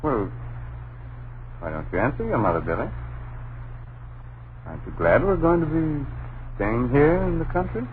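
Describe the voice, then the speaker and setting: A man's voice: medium pitch, lively intonation, moderate pace, pauses often, lightly acted. An actor with an American accent playing a brash, easygoing, slangy father in an old-time radio drama. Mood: fatherly. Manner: slangy, breezy, dismissive. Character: brash, easygoing young father renting a country house. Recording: noisy, tinny vintage radio recording.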